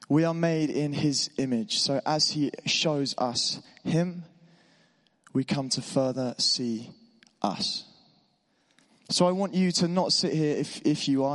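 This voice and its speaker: A man preaching a sermon in church, encouraging and informal, his voice a little hoarse from being unwell. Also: a little high. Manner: warm, encouraging, informal with asides. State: slightly hoarse voice. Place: church hall. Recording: long hall reverb.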